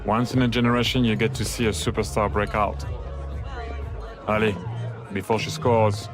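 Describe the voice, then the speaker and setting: A man's voice: on the low side, little pitch movement, normal speed, music in the background, close to an everyday voice. An actor playing a sociable, laid-back man in a video game cutscene, talking in casual, clipped phrases. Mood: keen, impressed.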